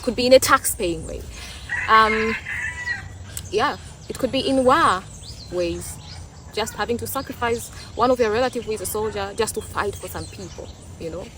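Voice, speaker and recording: A woman's voice: a little high, moderate pace, noisy background. A young woman from Tanzania with a Tanzanian accent, a Swahili speaker talking in English, giving her opinions in a thoughtful, casual vlog, often repeating and restarting words. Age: young adult. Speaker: vlogger.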